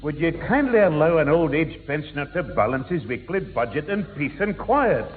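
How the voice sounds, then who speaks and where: A man's voice: fairly high pitch, expressive, moderate pace, narrow, tinny audio, a little hiss or hum, natural voice. A man playing an irritable old pensioner grandfather, peevish and formal, in a 1960s radio sitcom. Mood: irritated. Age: older. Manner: peevish, muttering, sarcastically formal.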